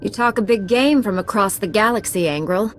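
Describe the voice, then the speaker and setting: A steadfast sci-fi game heroine, voiced by a woman, mid-pitched and firm. She is a principled knight who speaks earnestly and with assurance. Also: a little fast, a little hiss or hum, close to an everyday voice. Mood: defiant.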